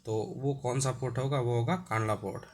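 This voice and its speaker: A man's voice: medium pitch, fairly even intonation, a little fast, slight background noise. Man teaching with a sore throat. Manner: hesitant, many "uh" fillers, clears throat often. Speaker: exam-prep teacher. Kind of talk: explaining a map lesson.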